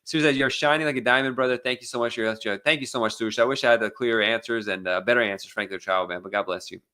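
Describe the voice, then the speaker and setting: A man's voice: mid-range voice, fairly even intonation, racing, sharp tone, clear, resonant tone. An American man hosting a casual live Q&A as an immigration attorney, reading out viewer questions and answering them bluntly with many fillers and restarts. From United States.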